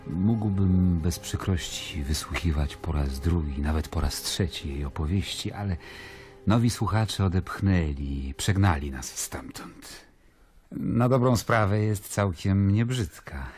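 An actor playing a worldly, skeptical Roman governor, narrating in a measured, musing, literary way in a radio drama. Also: male voice, low voice, animated, darkish tone, faint music behind. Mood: dry amusement.

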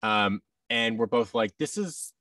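A man's voice: on the low side, unhurried, no echo, recorded from a distance. A man in his thirties chatting casually as an interview guest, animatedly acting out exchanges as he talks. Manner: animated, acts out quoted exchanges. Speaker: podcast guest, audio drama creator. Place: indoor room, video call. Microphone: boom-arm microphone with headset.

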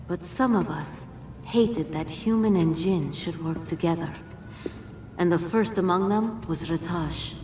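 In a roomy, distant echo with music underneath, an ancient djinn woman, regal and grave. Her voice sits on the low side, and she speaks in measured, formal phrases as in a game cutscene. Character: solemn, regal, ancient female djinn guardian. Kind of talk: dramatic video game cutscene acting.

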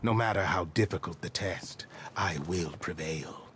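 An actor playing a solemn, zealous warrior in a video game, speaking formally and with intensity. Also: male voice, deep voice, measured pace, fairly bright tone, a little hiss or hum. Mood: hushed resolve. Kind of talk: video game cutscene acting.

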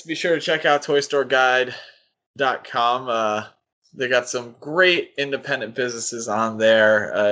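A man's voice: mid-range voice, a bit bright. A man hosting a podcast, chatting in a casual, conversational way. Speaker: podcast host.

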